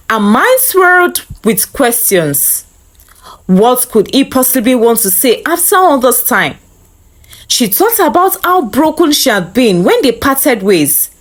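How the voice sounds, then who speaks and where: A woman reading a scripted dramatic story as narrator. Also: fairly high pitch.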